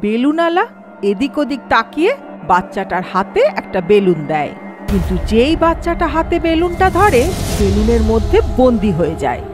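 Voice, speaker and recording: A woman narrates an animated horror story with dramatic flair. Her voice is high and swings expressively up and down, over clearly audible background music. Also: normal speed.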